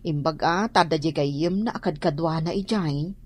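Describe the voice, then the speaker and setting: Village gossip woman, very low pitch, husky, judgmental, radio drama. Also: normal speed, darkish tone, no room sound. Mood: gossipy, matter-of-fact. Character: gossiping village woman, disapproving of a spendthrift family.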